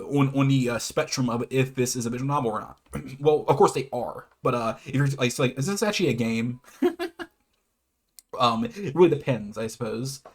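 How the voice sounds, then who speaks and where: A man with a mid-pitched voice presents an informal online explainer. He moves between reading prepared lines and talking off the cuff. His delivery is halting, with stumbles and self-corrections, and he tends to chuckle between phrases. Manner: hesitant, stumbles over words and self-corrects. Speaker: YouTube host presenting his own definitions. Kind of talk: informal explainer, half read, half ad-libbed.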